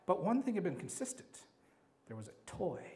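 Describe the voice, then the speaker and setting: A man telling a comic personal anecdote live at a storytelling event, rambling and animated, acting out bits of dialogue. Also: sits high, moderate pace.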